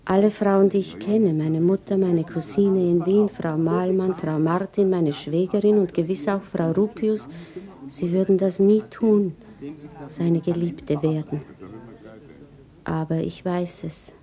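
An actress playing a troubled, wistful woman with a secret love in a German radio drama, soft and introspective. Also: fairly low pitch, steady tone, a little slow. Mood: resolute, fearful.

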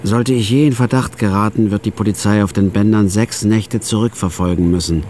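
A man narrating a radio drama in the first person as a store clerk, in a flat, laconic, hard-boiled way. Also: on the low side, brisk, slight background noise.

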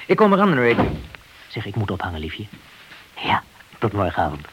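An actor playing an eager, easygoing junior police officer in a radio drama, informal and obliging. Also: male voice, on the low side, wildly swooping pitch, moderate pace. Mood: affectionate, hurried. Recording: dull, noisy old radio play recording.

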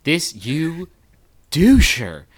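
A man's voice: on the high side, huge pitch swings, very slow. A man co-hosting a comedy podcast, casual and blunt, with mock exasperation. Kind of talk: casual comedic banter, reading listener submissions.

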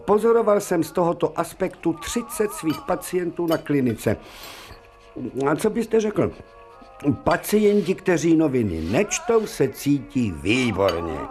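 An actor playing an older, cultured gourmet professor in a radio play, lordly and opinionated, lecturing with pompous emphasis. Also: male voice, a little high, darkish tone.

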